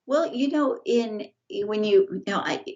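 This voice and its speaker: A middle-aged woman talking as an interview guest over a video call, halting and full of restarts. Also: medium pitch, a little mellow. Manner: halting, many restarts and fillers. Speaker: guest, family estate representative. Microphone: video call, earbuds. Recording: dull lo-fi video call audio.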